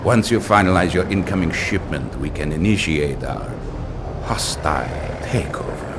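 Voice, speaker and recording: Deep, cold villain commander. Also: male voice, animated, moderate pace. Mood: cold, clinical. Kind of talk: villain acting in a video game. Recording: clear ambient noise behind voice.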